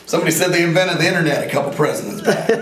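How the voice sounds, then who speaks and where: A man in his forties with a raspy voice, an inventor talking in a casual, rambling and earnest way, sometimes joking about himself. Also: fairly high pitch, expressive, fast, very noisy. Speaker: inventor and veteran interviewee. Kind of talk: casual interview talk. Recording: roomy echo, from a distance.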